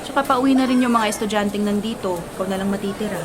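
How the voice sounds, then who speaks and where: A woman's voice: medium pitch, fast talker, crowd noise, natural voice. A woman with a Filipino accent playing a caring, orderly schoolteacher in a radio drama, speaking in an instructive way. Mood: gentle, concerned. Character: caring, orderly female schoolteacher of young children. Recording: clearly noisy background ambience.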